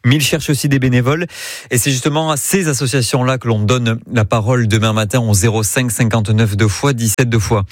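A man's voice: medium pitch, steady tone, a little fast, dry recording. A male radio presenter with a mid-range voice, friendly and a little rambling, stopping on small fillers as he explains. His sound is dry and close, with no room around it. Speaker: radio show co-host. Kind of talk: on-air show announcement and banter.

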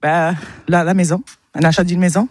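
A woman's voice: fairly low pitch, fairly even intonation, a little mellow. Cheerful Italian-born woman chatting. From Italy. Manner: cheerful, laughs easily, halting. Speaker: villager interviewed in the street. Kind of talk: casual chat.

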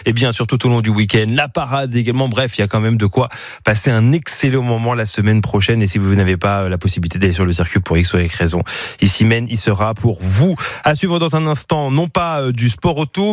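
A man's voice: mid-range voice, a little fast, no echo, studio quality. A man hosting local radio, chatting with a caller in a joking, teasing way with frequent hesitations. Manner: jokey and teasing, with many hesitations. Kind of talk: live radio chat with a caller.

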